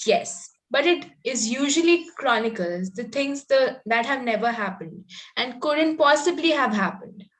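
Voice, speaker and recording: A woman plays a pert, romantic young girl in a Victorian comedy. Her voice is on the high side, and she speaks with ingenuous candour, contradicting her elders politely. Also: animated, unhurried, poor audio. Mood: dreamy, pert. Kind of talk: play table read, Victorian comedy.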